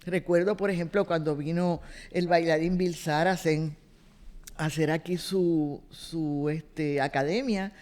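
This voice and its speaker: A woman in her seventies with a Puerto Rican accent, recalling her family in an oral history interview, with unhurried fillers. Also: deep voice, fairly even intonation, a little mellow. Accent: Puerto Rican Spanish. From Puerto Rico. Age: 70s. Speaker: interviewee, retired cultural director. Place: boardroom.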